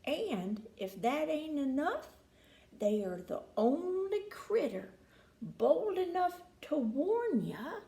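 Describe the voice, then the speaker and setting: A woman voicing a wry old male desert tortoise in a storybook, speaking quietly in a slow, folksy drawl. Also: high-pitched, big pitch swings, reverberant, voice pushed up, heavily acted. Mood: wry, ominous.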